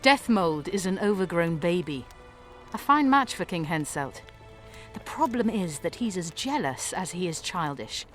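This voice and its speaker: Haughty, scornful court sorceress. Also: female voice, on the low side, sing-song, measured pace. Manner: scornful, crisp, imperious. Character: haughty, self-assured female court sorceress. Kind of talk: video game dialogue acting. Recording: music behind the voice.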